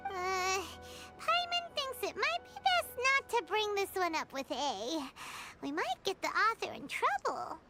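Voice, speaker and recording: A tiny, perky female fairy from a video game, with an extremely high, squeaky, childlike voice. The intonation leaps around brightly. Prominent music plays underneath. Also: big pitch swings, measured pace, exaggerated voice. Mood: earnest. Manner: squeaky, refers to self in third person.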